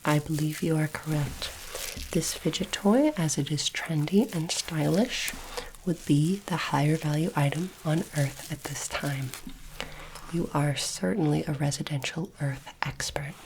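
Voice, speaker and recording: A woman doing a soft-spoken, whispered ASMR roleplay as a polite, formal alien interviewer, with deliberate phrasing. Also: deep voice, slight background noise, low-quality recording.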